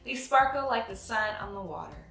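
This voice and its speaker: Young female read-aloud host, bright lively delivery, dramatic storybook phrasing, recorded in a roomy space. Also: medium pitch, moderate pace, gravelly, soft background music. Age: young adult. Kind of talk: expressive picture-book storytelling.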